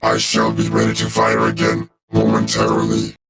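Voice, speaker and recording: A man voicing a cold, loyal robot warrior for a video game, speaking in a clipped, formal, deadpan way through a robotic filter. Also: extremely low pitch, moderate pace, gravelly, exaggerated voice. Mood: clinical, detached. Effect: robotic vocoder filter.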